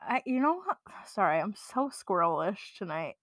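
A woman's voice: medium pitch, very animated, normal speed, a bit bright, dry recording, clean studio sound. A young woman with an Upper Midwest accent, co-hosting a casual podcast chat in a scattered, giggly, rambling way. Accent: Upper Midwest American. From Upper Peninsula of Michigan. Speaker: podcast co-host.

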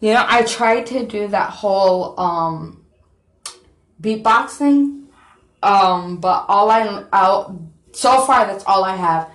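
A woman's voice: medium pitch, slow. A woman vlogger chatting casually and self-deprecatingly to her viewers, full of fillers. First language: not Korean. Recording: echoey room.

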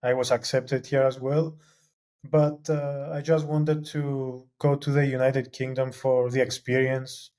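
Slow, flat-voiced Greek-native man. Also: mid-range voice. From Cyprus. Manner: hesitant, many "uh" fillers and restarts. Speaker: guest, film graduate. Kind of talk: casual interview answers.

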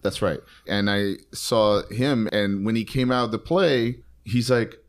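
A man with a fairly low voice co-hosts a loose conversation. He keeps chiming in with short affirmations and tells his own stories in an easy, conversational way. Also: measured pace. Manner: frequent encouraging backchannels. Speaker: co-host, playwright. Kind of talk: casual chat.

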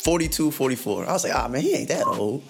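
A man in his thirties from Michigan, hosting a podcast and reacting with excited disbelief. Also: medium pitch, fast talker, vocal fry, faint music behind. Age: 30s. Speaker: podcast host. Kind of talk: casual conversation.

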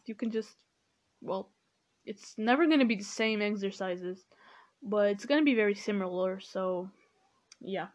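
Slow-talking teen girl. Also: medium pitch, a little mellow. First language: Portuguese. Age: teens. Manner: rambling, many fillers like "you know" and "like". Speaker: podcast host. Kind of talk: casual study-tips talk.

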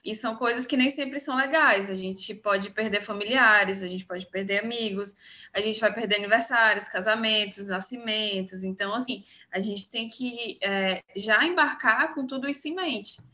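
A woman's voice: medium pitch, normal speed, bright, forceful tone. A young woman with a Brazilian Portuguese accent, a podcast guest casually sharing advice and experience over an online call. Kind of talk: casual conversation, giving advice.

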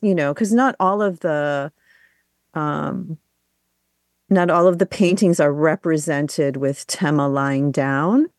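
A woman's voice: low-pitched, takes it slowly, darkish tone, no echo, studio quality. A woman co-hosting a radio arts interview, asking long, searching questions full of fillers. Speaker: radio arts show co-host.

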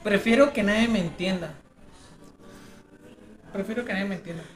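A woman's voice: mid-range voice, normal speed. Playful Guatemalan woman in a roomy space. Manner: playful, freely sweary. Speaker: podcast participant playing a question game. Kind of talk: casual chat among friends.

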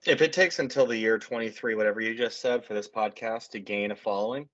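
A man's voice: lowish voice, quick pace, fairly bright tone. A man of thirty co-hosting a podcast, with dry, self-deprecating and crude humor. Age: 30s. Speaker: podcast co-host. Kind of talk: casual banter. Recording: dull lo-fi podcast audio.

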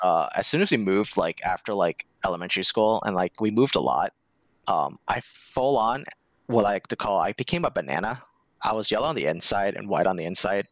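A man's voice: fairly low pitch, fairly bright tone, no echo. A young American man chatting casually as a podcast guest, laid-back and candid, with lots of "like". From United States. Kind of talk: casual interview chat.

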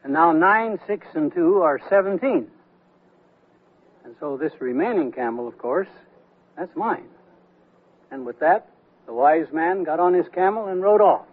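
An older man playing a shrewd, feisty retired investor in a radio comedy, with a dry, deliberate delivery. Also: a little high, animated. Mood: wry, explaining. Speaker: radio actor. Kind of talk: 1970s radio comedy acting. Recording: thin narrow-band 1979 radio recording.

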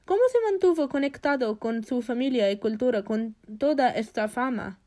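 Higher-voiced woman interviewer. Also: normal speed, warm tone. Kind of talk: scripted interview.

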